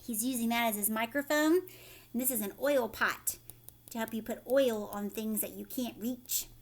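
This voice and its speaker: A woman reading a storybook aloud as a teacher, animated, with chatty asides straight to young listeners. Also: fairly high pitch, normal speed.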